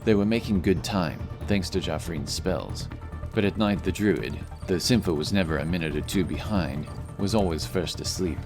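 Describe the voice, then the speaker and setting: A man's voice: low voice, normal speed. A man reading scripted fantasy story narration as the narrator of an audio drama. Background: music.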